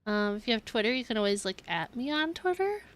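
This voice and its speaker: A woman's voice: a little high, big pitch swings, fast talker. A woman game streamer chatting casually and informally, reacting as she plays.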